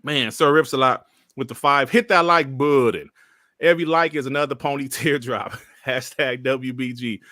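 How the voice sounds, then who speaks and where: A man from Detroit hosting a casual podcast livestream, animated and joking as he reads out chat messages. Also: mid-range voice, moderate pace, no room sound, clean studio sound. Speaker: podcast host.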